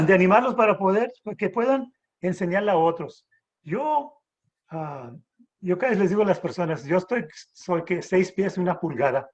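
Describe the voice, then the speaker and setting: Halting husky man teaching the Bible. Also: high voice, lively intonation, dull audio. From Texas border, raised in San Antonio. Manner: halting, many restarts and rhetorical "¿verdad?". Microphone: video call.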